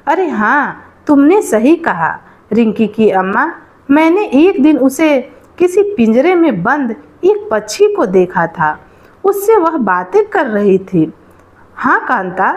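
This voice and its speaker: A woman's voice: high-pitched, very animated, slow pace, a little mellow, highs cut off, exaggerated voice. A woman voicing suspicious village women who confide gossip in a storybook tale. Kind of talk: storybook dialogue.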